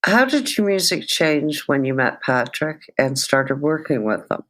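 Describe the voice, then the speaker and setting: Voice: medium pitch, sing-song, measured pace, fairly bright tone. A music show host reading prepared interview questions and introducing tracks.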